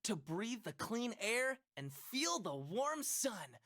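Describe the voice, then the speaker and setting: Children's learning game guide, voiced by a woman: friendly, young, very animated, rhyming narration, close dry sound. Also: fairly high pitch, takes it slowly, dry recording, exaggerated voice. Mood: cheerful. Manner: sing-song rhyming delivery.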